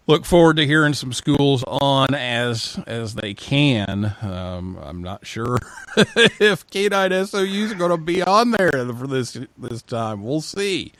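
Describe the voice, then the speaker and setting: A man's voice: mid-range voice, a bit bright, no echo. A man hosting a live call-in radio show in a casual, conversational style.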